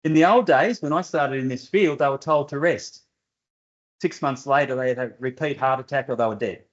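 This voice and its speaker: A man's voice: medium pitch, brisk, lo-fi sound. Older Australian man lecturing plainly. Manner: plainspoken, direct, with dry humour. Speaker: guest lecturer answering audience questions. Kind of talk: seminar Q&A explanation. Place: university seminar room.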